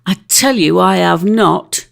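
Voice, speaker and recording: A woman's voice: on the low side, slow pace, gravelly, heavily acted. A woman voicing a tiny, stubborn water-baby boy in a storybook, insistent and indignant. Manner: insistent, plain-spoken. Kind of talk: storybook character voice.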